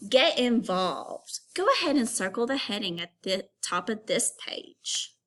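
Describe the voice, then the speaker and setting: A woman teacher reading an informational text aloud to young children, pausing to give instructions and put questions to them. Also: medium pitch, very animated, a bit bright.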